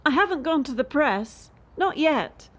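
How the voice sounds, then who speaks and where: A woman's voice: sits high, expressive, unhurried, a bit bright, highs cut off. A woman acting in a radio play as a bitter, defiant young elite gymnast under pressure. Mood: bitter, wary.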